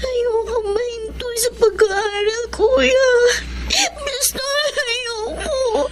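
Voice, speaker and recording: A woman's voice: very high-pitched, fairly even intonation, extremely slow, exaggerated voice. A woman playing a sad, anxious young schoolgirl, a little sister, in a radio drama. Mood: tearful pleading.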